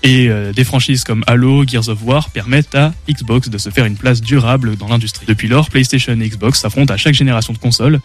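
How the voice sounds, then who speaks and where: A man's voice: medium pitch, fairly quick, hardly any pauses, soft background music. A young man presenting a prepared gaming segment on student radio, reading a script with frequent hesitations. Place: radio studio. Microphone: studio mic.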